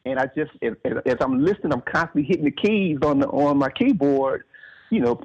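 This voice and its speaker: A man's voice: mid-range voice, animated, a little fast, a little mellow, breathy edge. A man talking casually as an author in an interview, reflectively explaining things step by step and restating his phrases. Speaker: author in conversation about writing. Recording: slightly dull, lo-fi radio-like sound.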